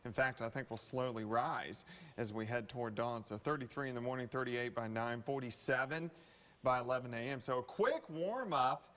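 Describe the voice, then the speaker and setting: Male weather presenter, American, mid-pitched, conversational forecast delivery. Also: normal speed, a bit bright. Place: TV news studio.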